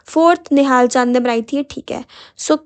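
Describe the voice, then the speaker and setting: A young woman teaching an exam-prep lesson with a hoarse, sore voice, chatting directly to her students in a mix of Hindi and English. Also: sits high, normal speed.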